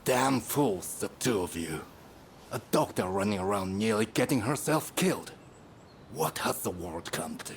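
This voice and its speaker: A gruff, cautious older physician in a video game, grave and given to grumbling. The voice sits fairly low, with slight noise behind it. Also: male voice, expressive, unhurried. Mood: scolding.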